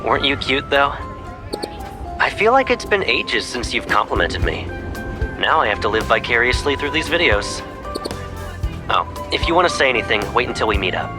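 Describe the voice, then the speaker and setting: Male actor for young pilot, teasing big-brother type, boastful and animated delivery, game drama, music bed. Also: mid-range voice, moderate pace, long pauses, close to an everyday voice. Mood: playful. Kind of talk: video game voice acting. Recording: background music under the voice.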